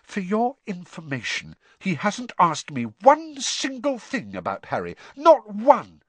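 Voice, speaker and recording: A man voicing an indignant, bossy teenage schoolgirl in a storybook, shrill and emphatic. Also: sing-song, moderate pace, fairly bright tone, no room sound, heavily acted. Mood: outraged.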